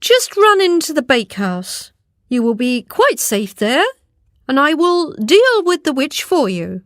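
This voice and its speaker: A woman's voice: sits high, sing-song, unhurried, dry recording, studio quality, pitched above the performer's own voice. A woman voicing a helpful, confident male baker in a storybook. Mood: reassuring. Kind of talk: storybook character voice.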